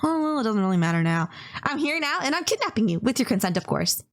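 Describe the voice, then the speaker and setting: A woman's voice: a little high, sing-song, quick pace. A woman playing a polite, gushing young female kidnapper in an intimate anime-style roleplay, earnest, apologetic and rambling, obsessively in love. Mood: shy, eager.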